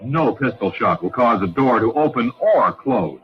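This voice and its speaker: A muffled vintage radio recording with music under it carries an actor as a self-assured male detective. He narrates a mystery in measured, dramatic fashion, with lively swings in pitch. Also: natural voice.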